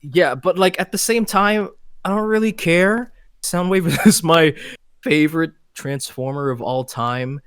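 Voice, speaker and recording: A man with a low voice, a guest voice actor chatting casually in an interview, often stammering and restarting as he talks. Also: unhurried, a bit bright, smooth, no echo, studio quality.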